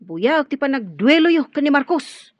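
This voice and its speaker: A woman's voice: sits high, very animated, normal speed. A woman voicing a young male henchman in a radio drama, obedient to the boss and cocky about fighting. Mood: confident. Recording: dull lo-fi audio with faint music.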